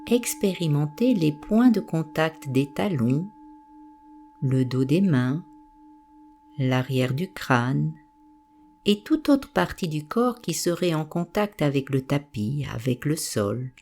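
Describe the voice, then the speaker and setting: A woman with a very low, soft-edged mellow voice leads a guided meditation. She gives soothing instructions at a very slow pace, with a gentle melodic rise and fall in her phrasing. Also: very animated, a little hiss or hum.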